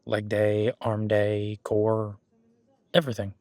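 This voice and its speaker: A man's voice: on the low side, completely flat delivery, slow, a little mellow, dry recording. A man playing a friendly, easygoing werewolf boyfriend who coaches his date at the gym in a casual, encouraging, rambling roleplay audio. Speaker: gym partner giving workout guidance. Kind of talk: roleplay audio drama, one-sided casual conversation.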